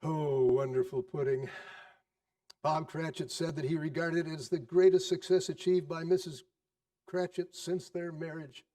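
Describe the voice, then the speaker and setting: A man narrating a classic story in a theatrical style, with dramatic, deliberate phrasing and varied emphasis. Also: fairly high pitch, steady tone, mellow, recorded from a distance.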